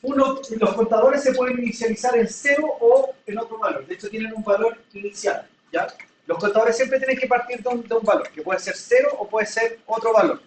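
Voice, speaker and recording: A man's voice: extremely high pitch, fairly quick, slight background noise. A man teaching a class in a classroom, patiently explaining with everyday examples and often checking that students follow. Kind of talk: classroom lecture. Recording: echoey room, from far off.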